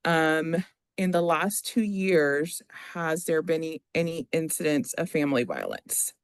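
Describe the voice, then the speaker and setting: An attorney speaking formally in a courtroom, reading out requests and questioning a witness in a halting, procedural way. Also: medium pitch, lively intonation, clean tone, dry recording, clean studio sound.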